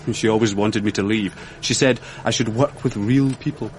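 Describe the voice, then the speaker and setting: An actor playing a mild, self-deprecating young academic with a British accent in a radio drama, diffident and drily understated. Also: male voice, lowish voice, steady tone, normal speed, a little hiss or hum, close to an everyday voice. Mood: tentative.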